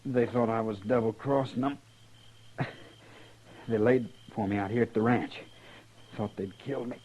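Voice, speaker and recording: Male actor as dying young Western outlaw: weak, halting drawl, slow delivery, bitter resignation, noisy vintage radio sound. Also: lowish voice, steady tone, a little mellow, lightly acted. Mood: weary, resigned. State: weak and labored from a gunshot wound. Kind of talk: old-time radio Western acting. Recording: muffled, noisy old radio recording.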